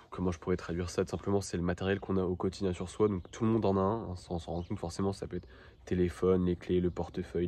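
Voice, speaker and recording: Low-voiced man, casual and halting. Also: warm tone. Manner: hesitant, halting, informal delivery. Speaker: video host presenting everyday-carry gear. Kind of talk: casual product presentation to camera.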